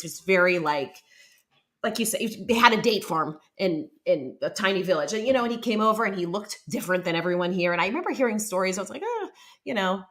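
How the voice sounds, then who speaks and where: Earnest woman podcast host, mid-pitched. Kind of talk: casual interview chat. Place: home studio room, indoors. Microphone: studio mic on arm, headphones.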